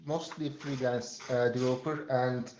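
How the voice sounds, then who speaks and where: A man whose first language is Turkish talks through his work at an even middle pitch, pausing often. His voice comes over a video call and sounds roomy and dull, like cheap call audio. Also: slight background noise. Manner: hesitant, many filler pauses and restarts. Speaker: freelance developer and consultant. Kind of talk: webinar panel introduction.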